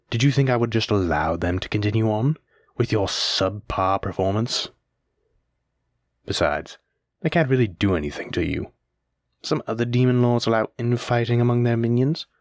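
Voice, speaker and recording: A man playing a stern, teasing demon lord in a scripted audio roleplay, speaking in a measured, commanding way. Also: lowish voice, dry recording, clean studio sound.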